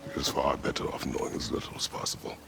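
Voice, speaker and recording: A man playing a stoic, brooding god of war and reluctant father in a cinematic video game, gravelly, terse and guarded. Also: fast talker, music in the background, close to an everyday voice. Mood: guarded, stern.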